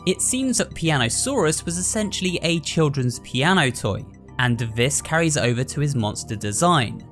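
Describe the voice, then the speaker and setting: A man's voice: on the high side, lively intonation, moderate pace, fairly bright tone, soft background music. A man narrating a scripted breakdown as the host of a video.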